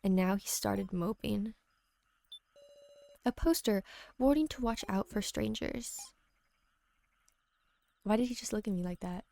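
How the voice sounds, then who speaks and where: A woman voicing an anxious, dry-witted teenage schoolgirl in a game, speaking softly and hesitantly. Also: mid-range voice, unhurried, gravelly, long pauses. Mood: wary, dry.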